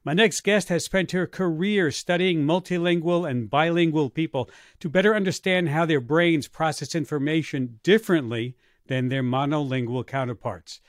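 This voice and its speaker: A male radio host reads his introductions in a measured, scripted way before he turns to questioning his guest. His voice sits a little high for a man. The sound is close and dry, with almost no room in it. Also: no echo. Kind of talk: hosting a live stage interview. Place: theater stage before a live audience.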